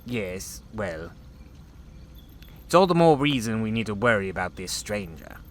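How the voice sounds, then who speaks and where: A man's voice: on the low side, sing-song, measured pace. A man playing an anxious, self-important chief officer in a sci-fi audio drama, agitated and pompous. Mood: anxious suspicion. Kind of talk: full-cast sci-fi audio drama acting.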